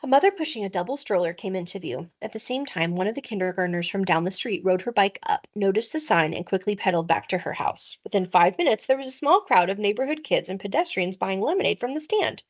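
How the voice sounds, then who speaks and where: Female narrator, teacher-style children's book reading, expressive, very varied intonation. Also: mid-range voice, quick pace, hardly any pauses.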